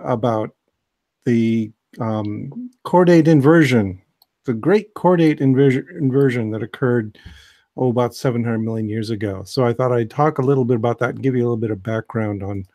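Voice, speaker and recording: An American man giving a relaxed, digressive science lecture on a video call, with many fillers and restarts. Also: medium pitch. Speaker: host, biology lecturer.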